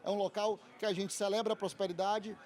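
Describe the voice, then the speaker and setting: A man's voice: high voice, level tone, a little fast, a little mellow. A man, a lawyer giving fluent, articulate interview answers at a fair stand. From Salvador, Bahia, Brazil. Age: 30s. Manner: fluent, articulate, polished speaker. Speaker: lawyer and institute founder, guest.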